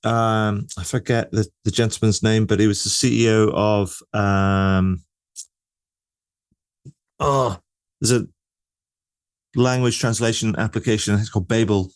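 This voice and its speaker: A man's voice: on the low side, steady tone, unhurried, pauses often, clear, resonant tone. A man hosting an interview, talking in a loose, conversational way with many fillers such as "you know" and "like". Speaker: interview host.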